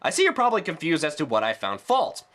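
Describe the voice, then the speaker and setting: A man's voice: a little high, huge pitch swings, quick pace, a bit bright. A man delivering a scripted critical review in a ranting, sarcastic, exasperated manner.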